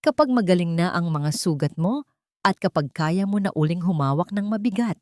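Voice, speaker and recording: Gentle, forgiving wife voiced by Filipino woman. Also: lowish voice, normal speed, no echo, clean studio sound, close to an everyday voice. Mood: calm, forgiving. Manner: calm, gentle.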